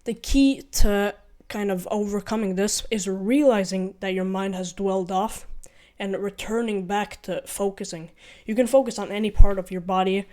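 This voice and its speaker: A man's voice: high-pitched, fairly even intonation, moderate pace, hardly any pauses, darkish tone. A young man from Ukraine with a mixed Ukrainian and Norwegian accent gives casual vlog advice. He talks in an earnest, rambling way, often correcting himself and repeating his points. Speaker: vlogger.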